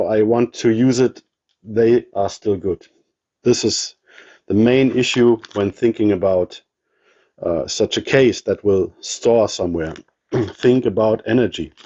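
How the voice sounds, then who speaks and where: A man with a German accent showing his radio gear in a casual walkthrough, halting with many ums and restarts. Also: on the low side, mellow, dull audio. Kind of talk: casual show-and-tell walkthrough.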